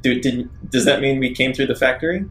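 A man's voice: mid-range voice, brisk, fairly bright tone, a little hiss or hum. A man chatting casually as a player in a tabletop roleplaying game, asking questions and proposing actions.

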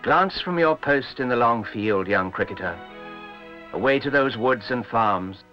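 A man giving poetic scripted narration in a measured, literary delivery. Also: mid-range voice, lively intonation. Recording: muffled old film soundtrack with music. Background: music.

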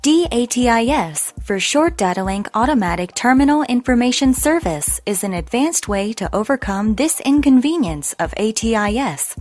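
A woman reading scripted educational narration in a measured, deliberate way, enunciating carefully. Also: a little high, animated, a little mellow, no room sound. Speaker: narrator of an explainer lesson.

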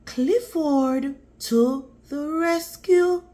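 Slow, higher-pitched woman reading to children. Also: dark tone. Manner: teacherly, prompting children with questions. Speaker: read-aloud host. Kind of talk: children's picture book read-aloud with questions to listeners.

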